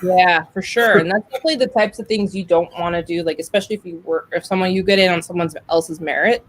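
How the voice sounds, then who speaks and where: A woman's voice: on the low side, fast talker. A woman hosting a podcast in casual conversation, talkative and telling stories with acted-out quotes. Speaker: podcast host.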